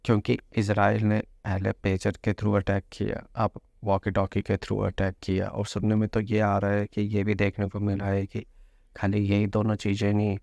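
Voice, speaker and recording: Low, creaky-voiced man explaining news. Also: monotone, normal speed, a little mellow, no room sound, muffled recording. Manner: mixes Hindi with English terms. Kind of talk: informal news explainer commentary.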